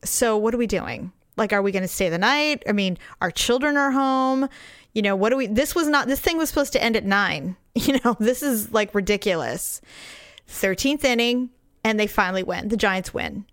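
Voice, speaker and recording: A middle-aged woman, slightly hoarse, telling a story in casual, animated chat and laughing often. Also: mid-range voice, normal speed, no room sound, clean studio sound. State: hoarse, recovering voice.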